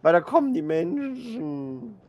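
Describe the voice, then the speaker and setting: A man's voice: sits high, sing-song, slow, lo-fi sound. A man gamer in casual game chat, teasing and goofing around with frequent exclamations. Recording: faint background noise.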